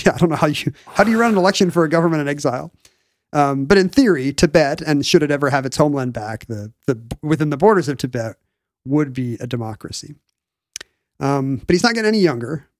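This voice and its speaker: A man's voice: on the high side, lively intonation, fast, no room sound. A man in his forties explaining history in casual conversation, rambling and often searching for the right word. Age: 40s. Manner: rambling, searches for words mid-sentence.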